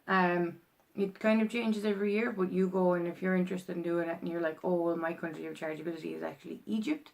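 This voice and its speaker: Young irish woman vlogging, lowish gravelly voice, rambly, lots of ums. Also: flat delivery, fast, rough recording. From Ireland. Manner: rambling, chatty, full of ums and restarts. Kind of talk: casual explainer vlog.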